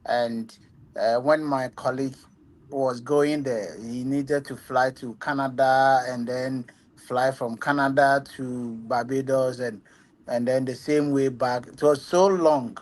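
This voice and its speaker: A man's voice: medium pitch, steady tone, slow. A man from Ghana, a company founder answering interview questions earnestly, with many ums. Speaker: travel agency founder.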